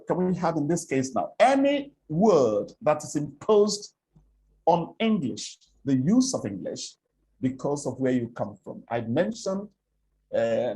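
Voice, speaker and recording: Slow, lively Nigerian man teaching diction. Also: a little high, low-quality recording. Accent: Nigerian, Igbo. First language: Igbo. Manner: deliberate, with repeated words and examples. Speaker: presentation coach. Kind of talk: spoken lecture on English diction.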